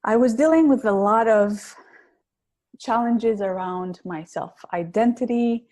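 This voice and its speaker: A woman's voice: a little high, unhurried, darkish tone. A woman with a heavy Greek accent, a public speaking coach being interviewed on a podcast, talking in an earnest, reflective way with some hesitations. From Greece.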